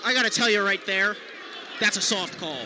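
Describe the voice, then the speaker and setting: A man's voice: high voice, moderate pace, a crowd in the background. A man giving analytical color commentary at a live basketball game, explaining plays in detail. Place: courtside in a basketball arena. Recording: noisy live arena broadcast.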